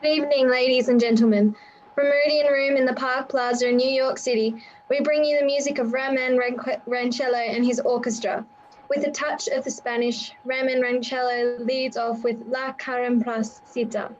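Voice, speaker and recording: Woman acting a high-voiced formal radio announcer. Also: fairly even intonation, moderate pace, lightly acted. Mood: polite, formal. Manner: formal, presentational. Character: polite, formal female radio dance-music announcer. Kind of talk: old radio announcing, scripted. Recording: dull lo-fi sound with noise.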